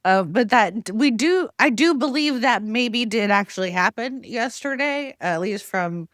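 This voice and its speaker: A woman's voice: on the high side, lively intonation, unhurried, fairly bright tone, no room sound, clean studio sound. A woman on a political podcast panel, chatting casually and enthusiastically in a very informal way.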